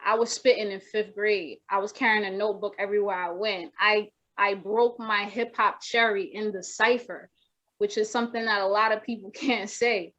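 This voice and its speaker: Soft-voiced young woman rapper on a video call. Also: mid-range voice, fairly even intonation, moderate pace. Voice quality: naturally soft voice. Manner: rambling, many restarts and fillers. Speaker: rapper guest. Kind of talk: casual video-call interview. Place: home room with wooden shelves. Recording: dull lo-fi video call audio.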